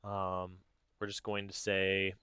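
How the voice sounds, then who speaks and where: A man with a fairly low, clear voice narrates a software tutorial. He talks informally, working through each step aloud. The sound is dry, with very little room in it. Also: monotone, slow pace, dry recording. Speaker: tutorial host.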